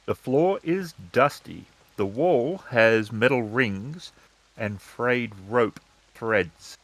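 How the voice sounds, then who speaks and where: A man's voice: medium pitch, animated, slow, fairly bright tone, no echo, low-quality recording. A man working as game master, narrating descriptive scenes for a tabletop roleplaying game.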